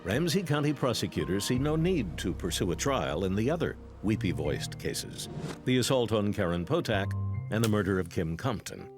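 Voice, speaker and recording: A man narrating a true-crime documentary in a scripted, dramatic and deliberate style. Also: fairly low pitch, big pitch swings, music in the background.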